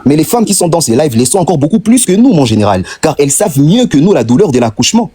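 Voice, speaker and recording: A man's voice: on the high side, big pitch swings, quick pace, warm tone, slightly rough. A Congolese man with a Congolese French accent, making an impassioned, insistent argument on a live stream, piling up rhetorical questions and repeating phrases for emphasis. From Democratic Republic of the Congo.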